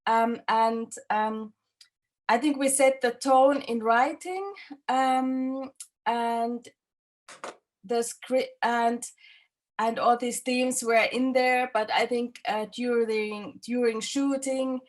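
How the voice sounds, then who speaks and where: A woman's voice: on the high side, steady tone. Woman, hesitant filmmaker talk. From Finland. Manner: halting, many fillers and restarts. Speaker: film director. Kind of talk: festival interview, casual answers.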